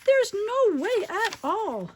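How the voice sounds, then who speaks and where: A little storybook fish, played by a woman, pitched very high and full of alarm. It scolds and frets, pleading emphatically as it disapproves of everything. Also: sing-song, slow, darkish tone, a little hiss or hum, exaggerated voice. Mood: worried. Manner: fretful, emphatic, repetitive pleading. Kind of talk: children's storybook character read aloud.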